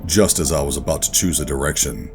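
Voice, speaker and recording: Man acting very deep, gravelly male operative. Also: fast, over music. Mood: brooding, ominous. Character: gruff, guarded male covert operative narrating sci-fi horror. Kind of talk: first-person scripted audio drama narration and dialogue.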